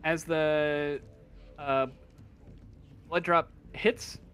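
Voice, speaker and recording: A man improvising narration as a tabletop game master, halting as he searches for words. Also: a little high, fairly even intonation, fairly bright tone, clear, resonant tone. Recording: faint room noise.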